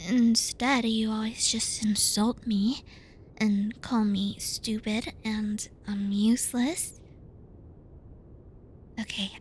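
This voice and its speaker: A woman playing a bratty, flustered tsundere student in an ASMR roleplay, speaking softly and breathily close to the mic in a pouty, halting, defensive way. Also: a little high, a little hiss or hum. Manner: halting, pouty, defensive, stumbles over words. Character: bratty tsundere young female student, flustered, teasing and bossy. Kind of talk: ASMR roleplay addressed to the listener.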